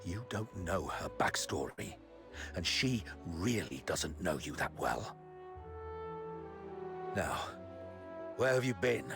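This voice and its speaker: A stern guardian from a video game, with a low voice that creaks with gravel. He is protective but curt and scolding, and his pitch swings widely as he lays down the rules. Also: sing-song, slow, pauses often, over music, exaggerated voice. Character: stern, gruff adult man, protective strict guardian.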